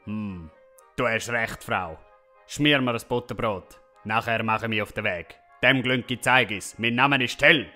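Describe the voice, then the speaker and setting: A man's voice: fairly low pitch, unhurried, bright, forceful tone, faint music behind. An actor playing a stubborn, hot-headed family father and folk hero in a comic audio drama, blunt and bossy, with a Swiss German accent. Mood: grumbling, defiant.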